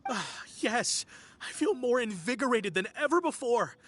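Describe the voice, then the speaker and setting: A woman's voice: on the high side, big pitch swings, moderate pace, a little hiss or hum. A woman playing an anxious, polite, superstitious client in a video game, earnest and easily flustered. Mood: relieved, grateful. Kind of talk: video game character acting. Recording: music behind the voice.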